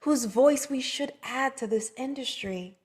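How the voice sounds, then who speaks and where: A woman's voice: sits high, a little slow. A woman reading the part of a frustrated TV writer who speaks in an earnest, pointed way. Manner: earnest, pointed, pushing back. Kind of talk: comedy table read.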